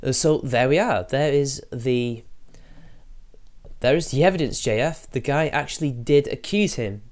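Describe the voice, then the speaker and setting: A man talking casually on a live stream, with a middling pitch and a sniffle from allergies. He rambles from point to point and talks straight to his viewers between thoughts. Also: lively intonation, unhurried. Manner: rambling, talks to chat while fiddling with software.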